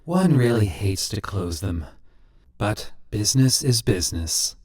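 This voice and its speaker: A suave, sinister stranger who collects souls like specimens, as a male storyteller puts him on. His voice sits low and swoops widely, smooth and businesslike with a faint pious simper. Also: very animated, unhurried, darkish tone. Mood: smooth menacing.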